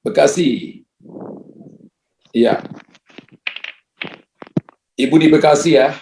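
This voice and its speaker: A pastor with a gravelly, slightly high man's voice, speaking prophetic blessings and then joking gently with the people who call in. The sound carries a noticeable room echo. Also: very slow, a little hiss or hum. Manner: warm, joking pastoral banter with callers. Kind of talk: prophetic prayer and ministry to callers.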